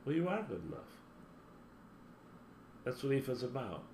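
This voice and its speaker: A man's voice: mid-range voice, sing-song, moderate pace. A man giving a motivational talk as a spiritual teacher, speaking emphatically and running through long spoken lists. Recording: roomy echo with faint background hum.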